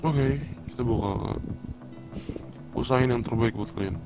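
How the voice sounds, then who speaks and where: A man's voice: mid-range voice, unhurried. An Indonesian man improvising casual in-character roleplay chat in a hesitant, informal way. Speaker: player in an online crime roleplay. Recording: dull voice chat under music. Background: music.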